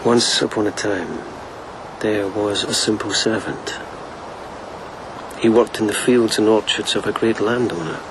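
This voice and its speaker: Radio drama: devoted lieutenant of old Japan , male actor, lowish voice, soft and measured, noticeable ambience behind. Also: noisy background, close to an everyday voice. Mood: sombre storytelling. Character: gentle, wry, devoted police lieutenant of ancient Japan. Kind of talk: intimate radio drama acting.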